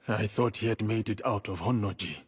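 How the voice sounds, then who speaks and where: A loyal samurai warrior in a video game drama. The voice is low and very husky, with a solemn, formal manner. He sounds earnest and dutiful. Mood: grieving.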